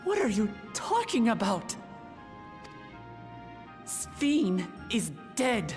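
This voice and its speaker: A woman's voice: fairly high pitch, sing-song, extremely slow, darkish tone, exaggerated voice. A woman acting as an earnest, forthright female leader in a video game, speaking emphatically and directly. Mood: indignant. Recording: long reverb on the voice. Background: prominent background music.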